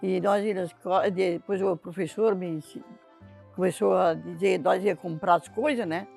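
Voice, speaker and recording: A man's voice: sits high, fairly even intonation, normal speed, gravelly, darkish tone, soft background music. An older man, chatting about school memories. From southern Brazil. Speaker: interviewee.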